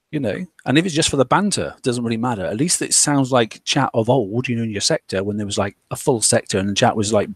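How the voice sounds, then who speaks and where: A man's voice: mid-range voice, slightly rough, dry recording. Rambling man, dry close mic. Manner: rambling, many fillers and restarts. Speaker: podcast host. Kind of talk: casual group discussion.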